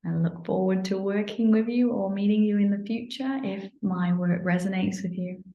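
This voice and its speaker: A woman from England with a Manchester accent, an energy healer talking casually about her workshops, relaxed and meandering with many ums. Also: medium pitch, normal speed, roomy sound, highs cut off. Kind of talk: casual promotional talk to camera.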